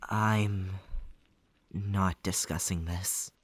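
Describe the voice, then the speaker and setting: An actor playing a quiet, withdrawn, awkward teenager in an audio drama, reticent and hesitant. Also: low-pitched, fairly even intonation, drawn-out words, breathy edge, no echo, full character voice. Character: quiet, withdrawn, awkward, nonbinary depressed teen patient.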